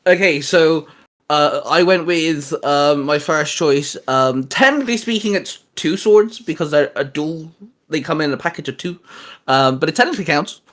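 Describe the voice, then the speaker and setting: A young man chatting casually as a co-host, excitable and quick to laugh, swearing freely. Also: fairly high pitch. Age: young adult. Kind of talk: casual podcast chat.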